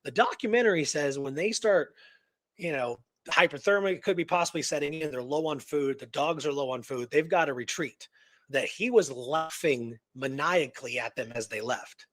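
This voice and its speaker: Male radio co-host, slightly high voice, informal rambling storyteller, close dry recording. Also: normal speed, a bit bright, no room sound. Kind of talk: casual conversational storytelling and discussion.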